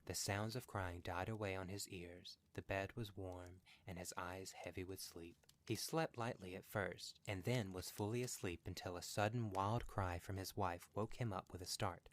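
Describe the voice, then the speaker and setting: A man's voice: sits low, steady tone, moderate pace, few pauses. A man reading a horror story as scripted narration for a podcast.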